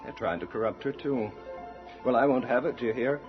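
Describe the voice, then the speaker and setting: A man's voice: mid-range voice, a little fast, lightly acted. An actor playing a harsh, domineering, fanatically religious father, preachy and declamatory, in an old-time radio drama. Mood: righteous anger. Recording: muffled old radio recording with music.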